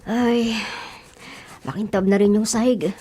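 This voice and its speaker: A woman's voice: medium pitch, fairly even intonation, unhurried, warm tone, faint music behind, natural voice. A woman in a Filipino radio drama playing a meek, polite, mistreated little boy. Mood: obedient. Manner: polite, pleading.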